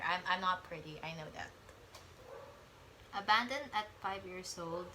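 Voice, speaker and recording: A woman's voice: fairly low pitch, bright, forceful tone, slight background noise, poor audio. A young woman vlogging as she reads online stories aloud, rambling and stumbling over words with chatty asides. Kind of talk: casual chat mixed with reading aloud.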